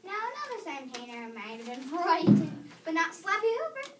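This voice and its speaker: A high-pitched child reads a story aloud in a halting way, fixing stumbles along the way. The voice sits far from the microphone in a roomy, noisy space. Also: very animated. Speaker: reader in a readers theater performance. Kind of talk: scripted story reading aloud. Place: echoey room. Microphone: distant microphone. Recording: roomy, distant microphone, noticeable background noise.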